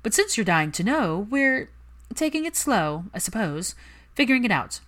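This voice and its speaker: A woman voicing a haughty, sharp-tongued young witch with a huffy, dramatic manner in a story reading. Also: a little high, sing-song, normal speed, pitched above the performer's own voice. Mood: grudging.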